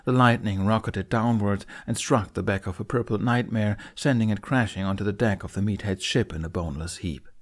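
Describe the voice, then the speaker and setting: A man's voice: fairly low pitch, a little fast, hardly any pauses. A man reading a fantasy audiobook as narrator, in dramatic scripted narration.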